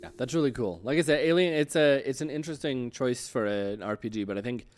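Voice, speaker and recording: A man hosting a show, chatting casually with a guest in a halting, hesitant way. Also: mid-range voice, expressive, soft background music. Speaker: show host. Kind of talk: casual interview chat.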